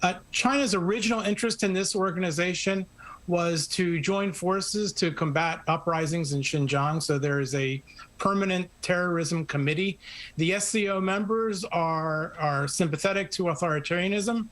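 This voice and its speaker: A man giving measured, explanatory analysis as a guest in a news interview. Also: high voice, a bit bright.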